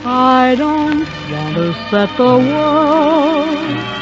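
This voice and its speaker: A woman's voice: high voice, very slow. A woman singing a sentimental 1940s ballad on an old radio broadcast, with music behind her. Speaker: singer. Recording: muffled 1940s radio recording, loud music. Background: musical accompaniment.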